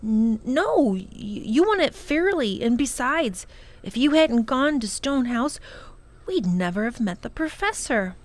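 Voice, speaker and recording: Young storybook boy, acted by a woman: earnest, loyal, emphatic, lively intonation. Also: on the high side, unhurried, voice pushed up. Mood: sincere, awkward.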